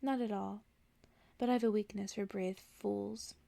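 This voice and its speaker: Lady reading as a tired old witch, blunt and chiding, really creaky, soft and close dry mic, bedtime storybook. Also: mid-range voice, fairly even intonation, moderate pace, no echo, natural voice. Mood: weary, wry. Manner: dry, world-weary, chiding. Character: weary, blunt old witch, scornful yet knowing.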